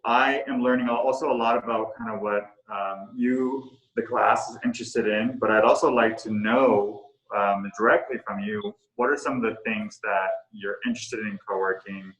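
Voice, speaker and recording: A middle-aged man, the co-founder of a coworking space, talking casually and haltingly with many fillers and restarts. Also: fairly low pitch, fairly even intonation, a bit bright. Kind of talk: casual talk on a virtual tour. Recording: echoey room.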